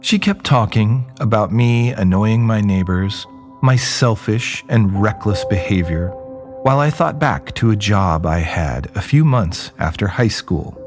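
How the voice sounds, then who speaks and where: A low male voice plays a jaded, rebellious young man in an audio drama. He narrates in first person with lively swings of pitch and wry, conversational asides. Faint music plays behind him. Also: a little slow. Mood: wry, rambling.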